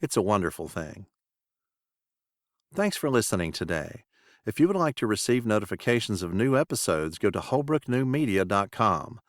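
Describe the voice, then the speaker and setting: A mature American man hosts a podcast in a lowish voice. His scripted narration is recorded very dry, with no room sound around it. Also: big pitch swings, normal speed, no room sound. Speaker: podcast host.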